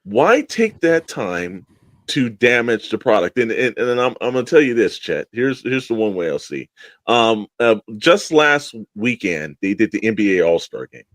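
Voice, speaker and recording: A man's voice: fairly low pitch, big pitch swings, moderate pace, a bit bright, dry recording. A man co-hosting a podcast in casual discussion, rambling and opinionated, with an emphatic way of talking. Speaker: podcast co-host.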